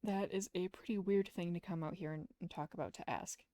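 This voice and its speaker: Mellow woman streamer, very dry sound. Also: lowish voice, steady tone, a little fast, no room sound. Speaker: streamer reading visual novels aloud. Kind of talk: narration of visual novel text with casual asides.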